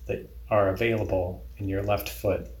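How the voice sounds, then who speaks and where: A man leading a guided body scan meditation in a calm, deliberate, instructional way. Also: fairly low pitch, roomy sound.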